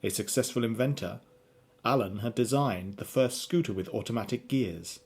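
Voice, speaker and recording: Husky male radio drama narrator. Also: medium pitch, moderate pace, a little mellow.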